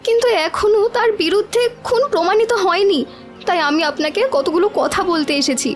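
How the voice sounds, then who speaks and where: Woman acting a desperate cartoon village wife, extremely high voice, deferential, insistent, pleading, music behind. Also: animated, brisk. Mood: earnest pleading. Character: desperate, pleading village wife defending her jailed husband.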